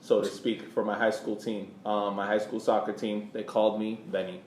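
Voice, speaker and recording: A man with a fairly deep voice hosts a casual sports podcast. He speaks conversationally in a noticeably echoey room, with faint noise behind him. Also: flat delivery, moderate pace, darkish tone. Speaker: podcast host. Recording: roomy echo, background noise.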